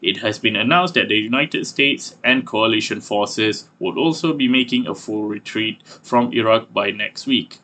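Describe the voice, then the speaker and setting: Guy playing a news anchor, lowish bright voice, serious and formal, reading a bulletin. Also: normal speed, hardly any pauses. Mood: serious, factual. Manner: formal, factual delivery.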